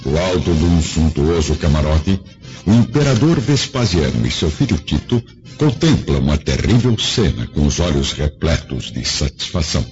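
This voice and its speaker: A man's voice: very deep voice, lively intonation, moderate pace, darkish tone. A man with a Brazilian accent narrating a radio drama in a scripted, dramatic style. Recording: dull lo-fi radio drama, faint music.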